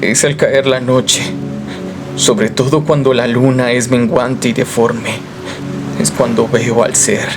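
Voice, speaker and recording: A man's voice: medium pitch, little pitch movement, takes it slowly, lightly acted. Man acting haunted horror narrator over prominent music. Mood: despairing, brooding. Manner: solemn, theatrical first-person storytelling. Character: tormented, haunted male narrator sliding into madness. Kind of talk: dramatic horror radio play narration.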